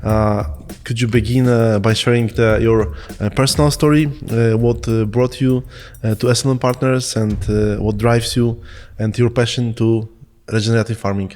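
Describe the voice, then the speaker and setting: A man from Poland, an English speaker, hosting an interview. His questions come slowly, broken up by many uhs and ums. His voice rings out with a long reverb, as if in a hall. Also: medium pitch, little pitch movement, darkish tone. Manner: halting, heavy with uh and um fillers. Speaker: podcast host.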